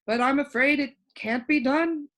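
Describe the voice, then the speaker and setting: A woman's voice: sits high, unhurried, fairly bright tone, pitched above the performer's own voice. A woman playing a commanding yet sorrowful storybook king with a regal, declamatory manner. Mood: wistful.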